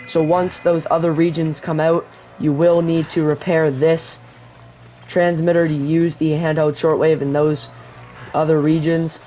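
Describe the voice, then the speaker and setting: A man's voice: on the high side, measured pace, soft background music, poor audio. A man giving a casual, rambling voice-over tutorial for a survival video game, explaining as he plays. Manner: relaxed, rambling explanation with restarts. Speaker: gaming YouTuber.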